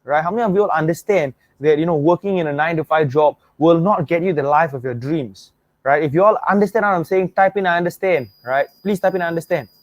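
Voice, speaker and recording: A man's voice: a little high, fast, no room sound. A young Singaporean man hosting an online webinar, talking with energy, repeating himself and asking viewers to type replies. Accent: Singaporean English. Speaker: webinar host. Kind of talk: motivational webinar pitch.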